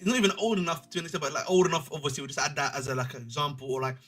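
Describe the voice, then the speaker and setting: A man's voice: fairly high pitch, racing, a bit bright, soft background music. A man, co-hosting a casual football chat and rambling in slangy, repeated phrases. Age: 20s. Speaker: podcast co-host.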